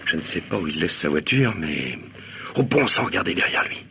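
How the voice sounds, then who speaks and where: Low-voiced, gruff police commissioner. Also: male voice, very animated, fairly quick, full character voice. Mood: calm, watchful. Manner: curt, commanding. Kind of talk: French radio mystery play acting. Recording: dull, noisy old radio drama recording.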